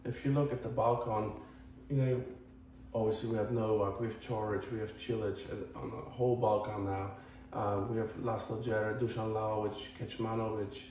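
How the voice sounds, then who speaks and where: A man's voice: on the low side, little pitch movement, normal speed, darkish tone. Echoey, distant Croatian-accented man. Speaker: host of a tennis channel. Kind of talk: casual talk to viewers. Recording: echoey, distant mic, faint noise.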